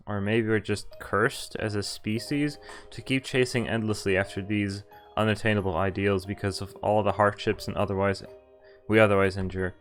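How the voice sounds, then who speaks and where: A man with a fairly low voice reads a visual novel aloud as a streamer. He narrates the story and sometimes stumbles over a word. Game music plays faintly underneath. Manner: stumbles over words while reading.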